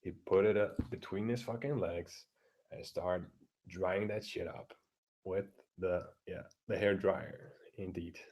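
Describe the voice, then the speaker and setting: A man with a deep voice ranting crudely and bluntly, keeping his voice down at home, halting with many fillers and restarts. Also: fairly even intonation, poor audio. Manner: keeps his voice down, talking quietly; crude, blunt, heavy swearing. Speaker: vlogger. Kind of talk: casual profane rant. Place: home room with neighbors through the walls.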